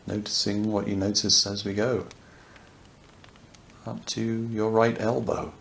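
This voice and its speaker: A man with a low voice, heavy with gravelly creak, guides a deep relaxation meditation. He speaks softly and without hurry, leaving long gaps between short, soothing phrases. A faint fireplace ambience sits behind him. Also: flat delivery. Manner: soft, unhurried, soothing, with long pauses. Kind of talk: guided yoga nidra meditation. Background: crackling fireplace ambience.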